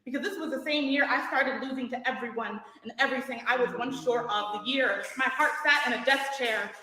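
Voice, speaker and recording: A woman performing spoken word poetry with deliberate, declamatory phrasing on stage in a hall. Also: on the high side, sharp tone, slight background noise. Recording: echoey hall with long reverb.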